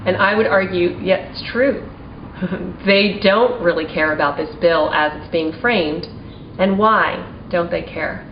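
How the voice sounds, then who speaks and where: A forty-year-old American woman giving prepared testimony as a small business owner, in an earnest, deliberate, formal manner. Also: lowish voice, gravelly. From United States (Maryland), partly raised in Taiwan and China. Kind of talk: prepared advocacy testimony speech. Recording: roomy, distant mic, dull sound, faint noise.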